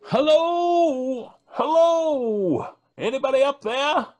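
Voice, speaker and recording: A middle-aged man playing a gruff, determined small-town doctor in an old-time radio horror play, with dramatic pauses. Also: very high-pitched, lively intonation, a little mellow, no echo, heavily acted. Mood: urgent, gruff. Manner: urgent, commanding, dramatic pauses.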